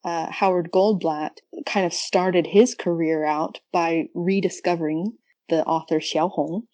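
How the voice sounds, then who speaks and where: A woman's voice: lowish voice, fairly even intonation, unhurried, mellow, no room sound. A woman speaking as a podcast guest and researcher, explaining things casually and thinking aloud in an informal way.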